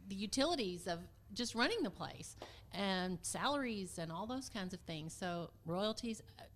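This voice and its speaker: A woman's voice: medium pitch, lively intonation, normal speed. A woman speaking as a community theater guest in a casual interview, informal and enthusiastic.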